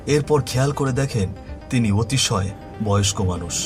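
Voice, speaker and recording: A man's voice: mid-range voice, animated, normal speed, music in the background. A man narrating a scripted horror story in a dramatic storytelling style.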